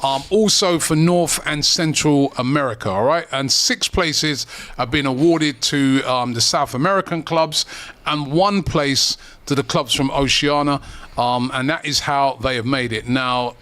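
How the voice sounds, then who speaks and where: A man hosting a casual football chat, relaxed and full of fillers. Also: medium pitch, unhurried. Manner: relaxed, lots of fillers and restarts. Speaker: host.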